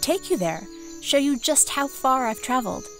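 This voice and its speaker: Woman playing a high-voiced, gentle plant gatherer. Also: expressive, moderate pace, faint music behind. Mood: earnest reassurance. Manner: polite, admiring, reassuring. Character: gentle, earnest female dye maker and plant gatherer. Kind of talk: audio drama acting.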